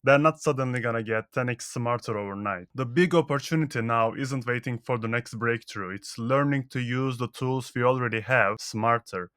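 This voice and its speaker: A male software engineer whose first language is Turkish, giving a scripted tutorial tip by tip in a brisk, matter-of-fact way. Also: mid-range voice, smooth, no room sound, studio quality.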